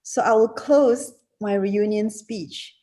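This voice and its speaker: A woman in her fifties from Singapore, giving a reunion speech over a video call and answering questions, halting with many fillers and restarts. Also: mid-range voice, warm tone. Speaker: keynote speaker, alumna.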